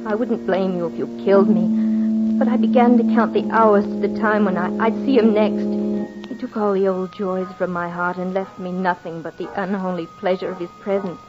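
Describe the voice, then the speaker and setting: Lady playing a tormented dying wife, halting and melodramatic, old radio horror, muffled with faint music. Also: medium pitch, fairly even intonation, natural voice. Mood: desperate, guilty. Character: anguished, dying wife bewitched by a phantom stranger. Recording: dull vintage radio recording, faint music.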